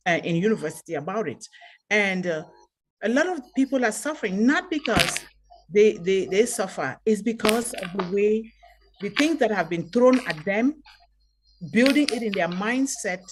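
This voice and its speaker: A woman's voice: mid-range voice, a little hiss or hum. A woman from Cameroon speaking passionately and emphatically on an online call. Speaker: participant. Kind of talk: open mic speech.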